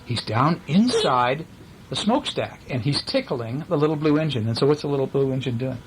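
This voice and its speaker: Playful dad reading bedtime stories aloud, very lively swooping intonation, slightly noisy home recording. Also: male voice, medium pitch, brisk. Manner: playful, acts out sound effects and asks questions. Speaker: father reading a bedtime story to his children. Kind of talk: casual story reading to young children with asides and questions.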